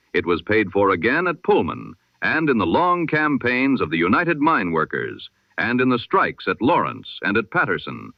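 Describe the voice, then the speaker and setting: A man reading a history broadcast in the style of an old-time radio newsreader, measured, solemn and formal. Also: lowish voice, no room sound, muffled recording.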